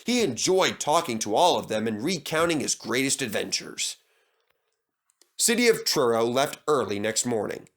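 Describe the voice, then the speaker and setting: A man's voice: mid-range voice, very animated, normal speed, fairly bright tone. A man reading a scripted children's story as the storyteller.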